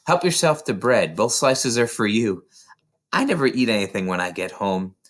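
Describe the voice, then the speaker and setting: A man voicing a gentle, earnest woman schoolteacher in a children's storybook. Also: lowish voice, big pitch swings, normal speed. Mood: hospitable.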